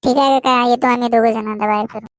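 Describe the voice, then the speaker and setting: A woman's voice: fairly high pitch, steady tone, fast, lightly acted. A woman voicing a playful, teasing village boy sidekick in a comedy cartoon, with a rural Maharashtrian accent. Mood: eager.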